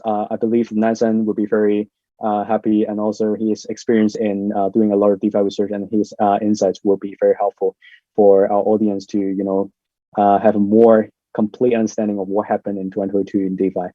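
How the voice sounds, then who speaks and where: Man, panel host, casual, lots of fillers, warm low voice, dull lo-fi sound. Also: little pitch movement, a little fast, no room sound. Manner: casual, filler-heavy, upbeat. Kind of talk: podcast panel introductions.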